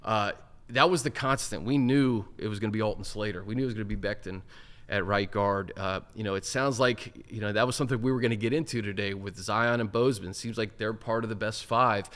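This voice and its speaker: American man hosting, lowish voice. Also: fairly quick, fairly bright tone. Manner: earnest, structured questions to co-host. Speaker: host. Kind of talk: casual sports talk.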